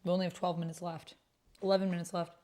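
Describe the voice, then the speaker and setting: Woman, slightly low voice, casual chatty livestream host at a computer. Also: little pitch movement, quick pace, mellow. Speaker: streamer hosting an actual play.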